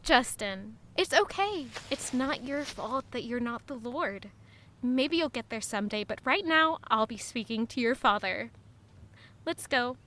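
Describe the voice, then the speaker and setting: A guilt-ridden young heroine, high-voiced. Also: female voice, lively intonation, a little slow, bright, forceful tone. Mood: firm, composed. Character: guilt-ridden, quietly resolute young woman returning to her hometown. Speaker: narrator and lead character. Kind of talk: first-person scripted audio drama narration.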